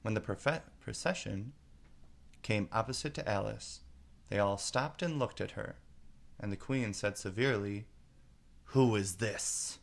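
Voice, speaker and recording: A man's voice: on the low side, moderate pace, gravelly. A man narrating a children's storybook as a read-aloud.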